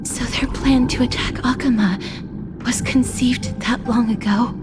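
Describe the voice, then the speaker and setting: Actress doing soft frail mystic lady from a video game, high voice, really slow, solemn and composed. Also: fairly even intonation, music in the background, close to an everyday voice. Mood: calm, earnest. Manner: soft, solemn, formal, partly breathy. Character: gentle, composed, frail mystical woman who calls to souls.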